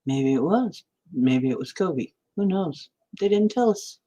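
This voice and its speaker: A woman's voice: on the low side, expressive, unhurried, mellow, breathy edge. Rambling woman in her sixties. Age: 60s. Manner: chatty, meandering, answers viewer comments. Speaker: livestream host. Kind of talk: rambling livestream chat.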